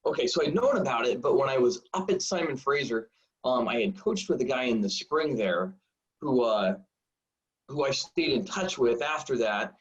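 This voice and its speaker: A man in his forties, a high school football coach, telling stories in a rambling, self-deprecating way full of fillers. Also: animated, moderate pace. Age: 40s. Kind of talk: casual storytelling interview. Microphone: video call. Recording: dull lo-fi video call audio.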